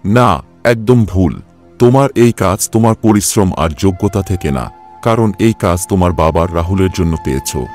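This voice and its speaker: A man's voice: sits low, lively intonation, normal speed, darkish tone, faint music behind. A man playing a stern, reproachful older company boss in a cartoon, lecturing and scolding.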